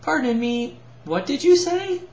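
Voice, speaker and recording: A man's voice: very high voice, animated, measured pace, darkish tone, far from the mic, pitched above the performer's own voice, exaggerated voice. A man voicing a young newcomer fairy girl in a storybook, eager, polite and naive. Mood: puzzled, polite. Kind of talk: storybook character voice. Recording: roomy echo, slightly dull home recording.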